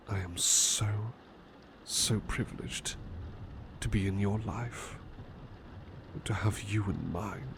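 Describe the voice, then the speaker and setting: Man playing a soft-spoken, slow, devoted butler. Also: lowish voice, vocal fry, background noise. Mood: adoring, tender. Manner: soft-spoken, often slipping into whispers, polite, hesitant. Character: devoted, gentle, flustered male butler attending his beloved. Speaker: ASMR roleplay performer. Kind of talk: intimate personal-attention ASMR roleplay.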